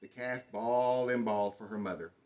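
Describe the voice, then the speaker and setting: A man reading a children's picture book aloud in an expressive, dramatic storytelling manner. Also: on the low side, a little fast. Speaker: storybook reader.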